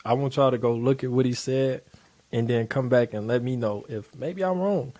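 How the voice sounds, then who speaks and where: Guy doing a reaction vid, loose blunt talk, curses a lot, middle pitch. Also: normal speed, warm tone. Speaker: reaction video host. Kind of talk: casual reaction commentary.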